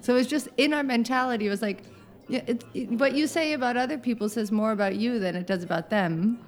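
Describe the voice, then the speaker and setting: A woman's voice: a little high, quick pace, soft background music. A woman from the United States, chatting as a podcast guest, laughing often and wandering into asides. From United States (Southern Oregon). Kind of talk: casual chat.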